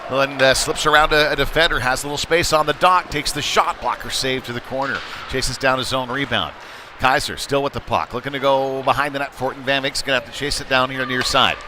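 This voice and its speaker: Male hockey commentator, mid-pitched voice, conversational and halting delivery, clear arena noise behind. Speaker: play-by-play sports commentator. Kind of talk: live youth hockey play-by-play commentary. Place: indoor ice hockey rink. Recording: noisy ice rink ambience. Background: clear rink noise and ambience.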